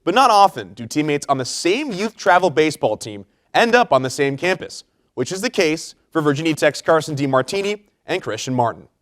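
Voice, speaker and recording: A man's voice: on the high side, sing-song, fairly quick, fairly bright tone. A young man delivering a polished, scripted sports report on camera. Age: young adult.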